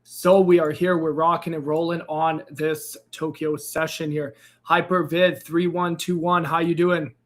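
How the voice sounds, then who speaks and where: A man hosting a casual live trading stream, upbeat and greeting viewers by name, his voice a bit raspy and hoarse after losing it. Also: a little high, level tone, a little slow, few pauses. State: slightly hoarse, recovering from a lost voice. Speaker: crypto trading livestream host. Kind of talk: casual livestream chat.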